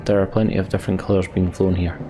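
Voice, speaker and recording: A man's voice: low-pitched, flat delivery, fast, over music. A man hosting a let's play, reading game narration aloud and adding his own commentary.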